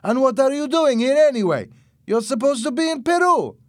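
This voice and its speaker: Man doing a high, shouting gang henchman. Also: expressive, normal speed, no room sound, clean studio sound. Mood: suspicious, angry. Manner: shouting, accusatory. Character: alarmed, suspicious male gang henchman. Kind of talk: audiobook character acting.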